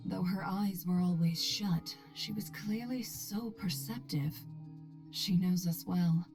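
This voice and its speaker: A composed young woman who plans every move in a fantasy game. Her voice sits fairly low and her delivery is measured and deliberate. Music plays clearly behind her. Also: darkish tone. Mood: calm, knowing.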